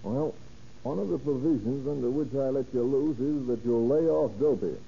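A man's voice: mid-range voice, normal speed, vocal fry, warm tone, husky. An actor playing a curt, commanding male federal narcotics agent who interrogates with authority in 1930s radio crime drama. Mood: pressing, skeptical. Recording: noisy, muffled old radio recording.

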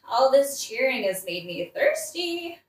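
A woman's voice: high-pitched, big pitch swings, slow, exaggerated voice. A woman playing a doting, willful queen mother in a modern comic audioplay, cooing and indulgent. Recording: echoing room, distant from mic.

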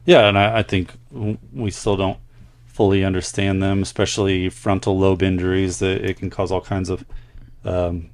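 Low-pitched man co-hosting a podcast. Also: little pitch movement, normal speed. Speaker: podcast co-host and nurse. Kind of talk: casual podcast chat, reading listener letters aloud.

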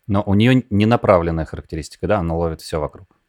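A man with a deep voice hosts the chat and asks curious questions. He talks quickly. The sound is dry and close to the mic. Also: darkish tone, no room sound. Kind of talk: casual interview questions.

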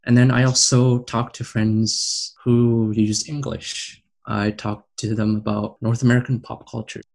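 A young man from Canada, a panel guest sharing thoughtfully and in a measured way. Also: medium pitch, level tone, gravelly, a little mellow. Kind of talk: reflective personal sharing in a panel discussion.

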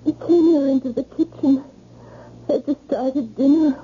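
Casting: distraught young maid, slow and high, halting through tears, old radio play. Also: female voice, mellow. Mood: tearful, panicked. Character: terrified young housemaid who killed her attacker. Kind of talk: old-time radio drama acting. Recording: muffled, hissy vintage radio broadcast.

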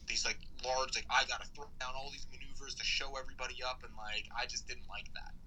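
Young American male podcast guest, rambling and informal, sharp forward tone, faint noise behind. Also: mid-range voice, fairly even intonation, brisk, rough recording. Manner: rambling, informal, frequent "like" and swearing. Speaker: podcast guest, BMX rider. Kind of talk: casual conversational interview.

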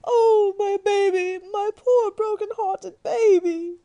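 Shrill, wailing, melodramatic mother. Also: fairly even intonation, takes it slowly, mellow, highs cut off, exaggerated voice. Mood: wailing, tearful. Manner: wailing, overwrought, theatrical. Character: melodramatic, self-pitying middle-aged mother of five daughters. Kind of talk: radio drama acting.

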